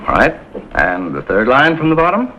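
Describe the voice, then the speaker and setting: An actor playing a calm, kindly middle-aged American authority figure in 1950s television drama style. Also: male voice, medium pitch, normal speed, full character voice. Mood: businesslike, patient. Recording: dull, noisy 1950s television soundtrack.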